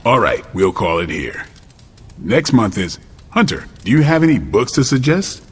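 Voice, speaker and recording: A man's voice: lowish voice, big pitch swings, moderate pace, husky. A man playing a composed, fair-minded superhero leader in a video game, recorded with a hall-like reverb and music behind. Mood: reluctant, easygoing. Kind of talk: video game cutscene acting.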